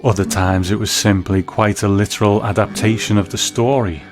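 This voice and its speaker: A man hosting a show, giving a measured, thoughtful scripted review in a reflective narrating style. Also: lowish voice, fairly even intonation, soft background music. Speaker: host and narrator.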